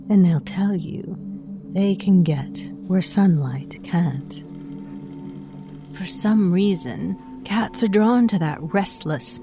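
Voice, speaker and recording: Ancient fey storyteller lady, dark fantasy audio drama, real slow n deliberate, knowing, kinda scornful, faint music under. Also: on the low side. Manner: deliberate, confiding, addressing the listener directly. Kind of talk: scripted dark fantasy audio fiction narration.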